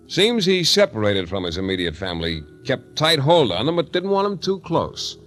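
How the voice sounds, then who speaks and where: A man's voice: medium pitch, close to an everyday voice. An American man playing a wisecracking, hard-boiled private detective in 1940s radio style, wry, breezy and slangy. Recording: noisy 1940s radio transcription recording.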